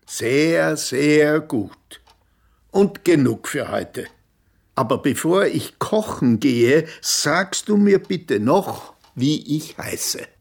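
Patient carer, husky, slow, swooping intonation, coaxing, teacherly, radio play. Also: female voice, low-pitched, lively intonation, exaggerated voice. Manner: deliberate, schoolteacher-like. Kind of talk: radio drama acting.

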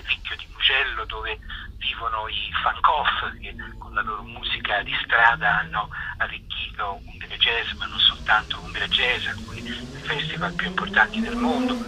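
A man speaking as an association spokesman in an informal interview, explaining a project and pausing to search for words. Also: mid-range voice, sharp tone, slightly rough, poor audio. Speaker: spokesman of a jazz festival association being interviewed.

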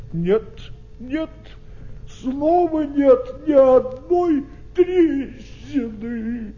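Slow, weary papa ostrich. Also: male voice, high-pitched, mellow, slightly rough, dull audio, full character voice. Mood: anxious, plaintive. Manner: sighing, sing-song humming, drawn-out plaintive talk. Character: big long-legged father ostrich, weary, sad, brooding an egg. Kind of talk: audio fairy tale acting with songs.

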